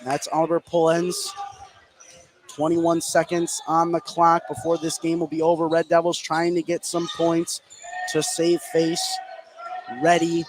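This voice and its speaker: A man's voice: on the high side, completely flat delivery, a little slow. An American man giving live play-by-play radio commentary on a basketball game. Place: indoor high school gym during a game. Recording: clear background gym noise.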